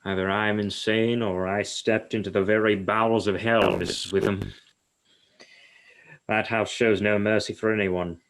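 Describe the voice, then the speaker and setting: A man's voice: on the low side, flat delivery, normal speed, a bit bright, poor audio. A man acting in a radio play as a solemn, haunted psychiatrist who speaks formally and ominously. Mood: ominous, grave. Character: grave, haunted male psychiatrist who fears the supernatural.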